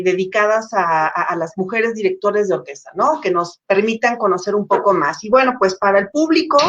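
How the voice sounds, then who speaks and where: A woman's voice: mid-range voice, highs cut off. A woman interviewer hosting an interview over a video call, chatting in a rambling way full of fillers. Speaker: interviewer, host.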